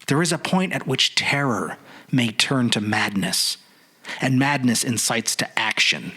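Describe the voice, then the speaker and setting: Husky guy doing a dramatic spooky story reading, suspenseful, lots of pauses. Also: medium pitch, lively intonation, bright, forceful tone. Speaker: podcast narrator.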